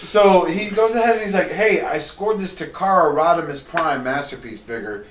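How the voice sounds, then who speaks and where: A Cuban man telling a crude story in casual convention chat, animated and profane. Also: a little high, moderate pace, a little hiss or hum. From Cuba. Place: convention hall. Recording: echoey hall, mic far off.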